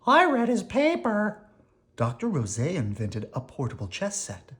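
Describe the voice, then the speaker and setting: Storybook townsfolk, put on by a reader: excited, marvelling, higher-pitched and very animated. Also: measured pace. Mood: amazed, admiring. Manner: emphatic, marvelling exclamations.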